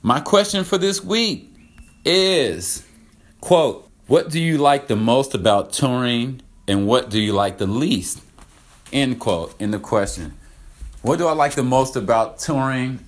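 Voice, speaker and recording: A man's voice: medium pitch, expressive, unhurried. A middle-aged man casually answering a listener question in an upbeat, conversational way, repeating phrases for emphasis. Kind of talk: casual recorded monologue.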